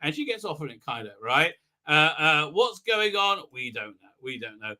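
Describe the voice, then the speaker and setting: A man hosting a fan review livestream, giving a rambling, halting, sarcastic recap with repeated words and exasperated outbursts. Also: a little high, very animated, bright, forceful tone. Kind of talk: casual mocking episode recap.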